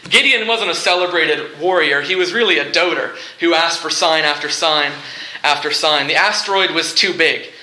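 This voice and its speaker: Male preacher, sermon delivery, very sharp bright tone, deliberate rhetorical phrasing, repetition, echoey room. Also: a little high. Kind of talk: sermon with movie illustrations and humour. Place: live talk in a large room before listeners.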